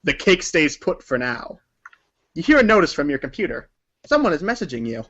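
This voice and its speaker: A man with a fairly high voice narrates a scripted story in the second person. His delivery is theatrical and emphatic, like a read-along book, and the recording is slightly dull. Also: big pitch swings, brisk, a bit bright.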